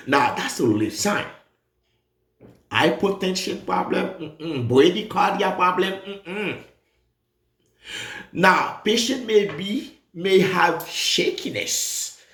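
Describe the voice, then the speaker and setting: A man teaches exam material in a slow, emphatic way, his pitch leaping up and down as he drives points home. He repeats key terms and talks straight to his students. The room around him is roomy and echoey. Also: a bit bright. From Haiti. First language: Haitian Creole. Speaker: nursing exam tutor. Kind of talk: lively exam review lesson.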